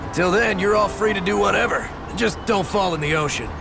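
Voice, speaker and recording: Here is a cheerful, salty sea captain in a video game. The voice sits high and is full of gravelly creak. The delivery is folksy and drawling, with music playing behind it. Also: male voice, a little fast. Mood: easygoing. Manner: folksy, drawling seafarer talk. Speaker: ship captain character. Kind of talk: video game cutscene acting.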